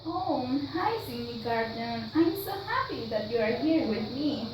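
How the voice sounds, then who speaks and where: A woman acting as a gentle, encouraging young mother in an amateur period drama dialogue. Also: on the high side, lively intonation, unhurried. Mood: welcoming. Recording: very echoey room, far from mic, faint noise.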